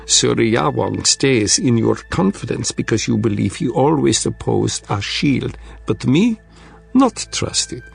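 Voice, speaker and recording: A man's voice: medium pitch, very animated, slow, creaky, breathy edge, soft background music, full character voice. A man voicing a sly, blunt Russian strategist with a thick Russian accent and clipped, article-dropping speech. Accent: thick Russian accent, put on.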